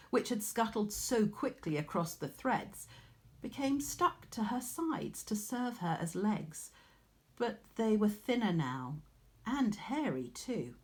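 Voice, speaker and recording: A woman reading a story aloud in a measured, expressive storytelling manner, like a teacher. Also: mid-range voice. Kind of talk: scripted storybook narration.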